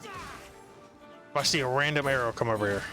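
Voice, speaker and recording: Casting: male streamer, mid-pitched and sharp, casual grumbly play commentary over game music. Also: huge pitch swings, moderate pace. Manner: rambling, grumbling. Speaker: gaming streamer.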